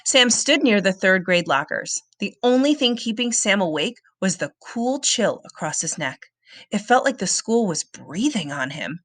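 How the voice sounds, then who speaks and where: A woman reading a children's book aloud as a storyteller, with expressive, dramatic and suspenseful delivery. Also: mid-range voice, moderate pace, dry recording, studio quality.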